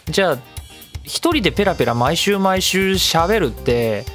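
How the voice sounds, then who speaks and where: A talkative man hosts a casual solo radio show. His voice sits on the high side and moves around in a very animated way, with music underneath. He often slips into quoting imagined remarks as he goes. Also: normal speed. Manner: talkative, acts out imagined remarks mid-talk. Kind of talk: casual solo web radio talk with chatty asides.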